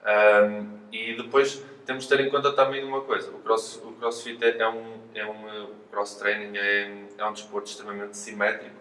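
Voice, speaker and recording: Male physiotherapist interviewee, European Portuguese accent, fairly low voice, halting explanations, recorded at some distance from the mic. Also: flat delivery, pushed and bright. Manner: hesitant, halting, explanatory delivery with repeated words. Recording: roomy echo, distant mic, faint noise.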